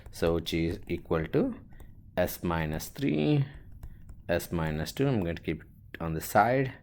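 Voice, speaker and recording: A man teaching a step-by-step worked example as an instructor, halting and thinking aloud as he writes. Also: low voice, very animated, a little mellow. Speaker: engineering instructor.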